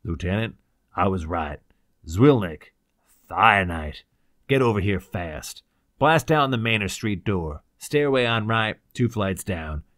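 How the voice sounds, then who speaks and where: A man voicing a brisk, fearless investigator who snaps out clipped orders in a pulp science fiction audiobook. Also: lowish voice, lively intonation, a bit bright, dry recording, clean studio sound. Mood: urgent, decisive. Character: crisp, commanding male investigator, brisk and fearless.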